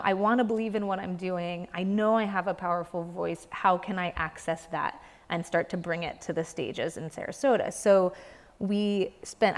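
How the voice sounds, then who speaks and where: A young adult woman, a dance company director, speaking earnestly in an interview and searching for her words. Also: on the low side. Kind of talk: reflective interview talk. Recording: reverberant hall.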